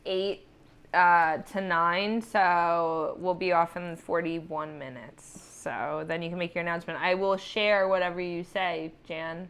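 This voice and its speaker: A woman's voice: mid-range voice, a bit bright, clean tone. Woman, young adult, comedian host, casual live chat, laughs a lot, reads comments aloud. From United States.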